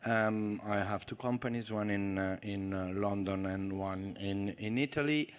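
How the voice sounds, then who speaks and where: A film producer on a panel who talks haltingly with many fillers and makes light jokes. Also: male voice, lowish voice, smooth. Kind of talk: panel talk.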